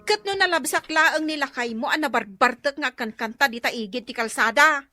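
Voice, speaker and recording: A nosy, scolding relative with an Ilocano accent in a radio comedy. Her voice is extremely high and bright, with faint music behind it. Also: moderate pace. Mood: scolding, teasing. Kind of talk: comedic radio drama acting.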